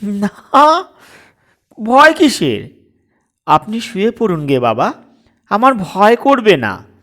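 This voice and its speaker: Man putting on a coy, high-voiced young wife. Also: big pitch swings, slow pace, fairly bright tone, heavily acted. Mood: feigned nonchalance. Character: coy, sly young daughter-in-law, playful and nervous.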